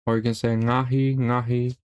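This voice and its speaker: A middle-aged man narrating a slideshow language lesson in a relaxed way, with many fillers and restarts. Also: mid-range voice, moderate pace, smooth, highs cut off. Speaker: language teacher.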